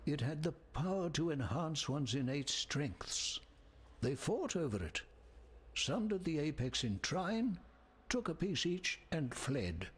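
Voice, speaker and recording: Husky, animated fantasy lore-keeper. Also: male voice, fairly high pitch, exaggerated voice. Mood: storytelling. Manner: measured, dramatic, formal phrasing. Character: scholarly male lore-keeper of a dark fantasy world, grave. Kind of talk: scripted game dialogue, storytelling exposition.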